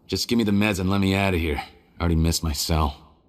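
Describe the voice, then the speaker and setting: A man voices a surly convict in a video game. He has a fairly low voice and speaks curtly, with impatient hostility. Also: fast, lightly acted. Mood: irritated. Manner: curt, hostile.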